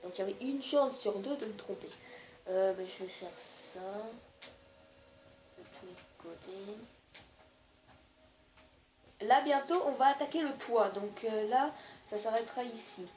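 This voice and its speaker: A woman's voice: medium pitch, measured pace, long pauses, darkish tone, reverberant. A woman with a cold, giving hesitant step-by-step building instructions over gameplay. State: stuffy with a cold. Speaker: gaming video creator.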